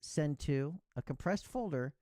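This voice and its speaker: A man's voice: on the high side, expressive, measured pace, mellow, no echo. Dry, methodical male tutorial narrator. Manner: clear, instructional, methodical. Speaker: tutorial instructor. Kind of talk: step-by-step software tutorial narration.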